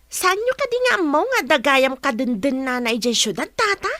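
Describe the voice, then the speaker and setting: A woman performs a young village gossip in a radio play, with a high, bright voice. She is inquisitive and animated, swooping up into her questions. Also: normal speed, no echo, clean studio sound, exaggerated voice. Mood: probing. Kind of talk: radio drama dialogue.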